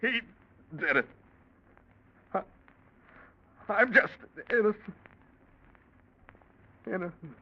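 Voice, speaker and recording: An actor playing a desperate, scheming gangster with tough, urgent talk in a 1940s radio crime drama. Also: male voice, high-pitched, animated, slow pace, pauses often, exaggerated voice. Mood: dying, pleading. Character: desperate scheming male gangster, blackmail victim turned gunman. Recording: muffled old radio broadcast.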